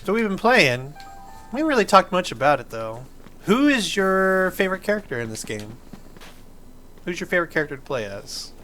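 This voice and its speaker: A man's voice: sits high, very animated, brisk, sharp tone. A man hosting a gaming video, giving casual, enthusiastic gameplay commentary in an informal, explaining way. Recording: game music behind the voice.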